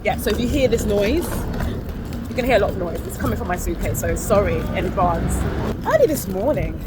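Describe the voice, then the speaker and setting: A woman's voice: mid-range voice, lively intonation, brisk. A woman chatting candidly to her phone while walking outdoors, rambling and correcting herself with small laughs. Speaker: vlogger. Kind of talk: casual vlog chat to a phone while walking. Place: outdoors on a city street. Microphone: phone held up to her face. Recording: outdoor phone recording with street noise. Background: traffic and street ambience.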